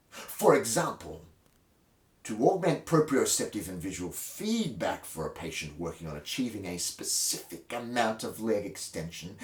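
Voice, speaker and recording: A man giving a mock-dramatic reading of a textbook, with hammy emphasis that swells suddenly and drops to a hush. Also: mid-range voice. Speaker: host of a dramatic reading series. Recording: roomy, distant microphone.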